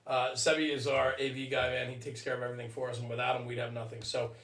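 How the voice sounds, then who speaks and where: A man's voice: medium pitch, flat delivery, fast talker, fairly bright tone. A man hosting a casual podcast chat, affirming and repeating short phrases, recorded roomy and a little off the mic. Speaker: podcast host. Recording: roomy, distant mic, faint music.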